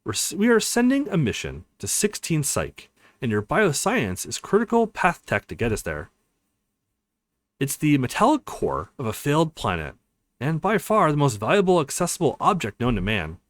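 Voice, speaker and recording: A man voicing a friendly, relaxed male government tech lead in a visual novel, easygoing and confident. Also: fairly high pitch, normal speed, no room sound. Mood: earnest pitch.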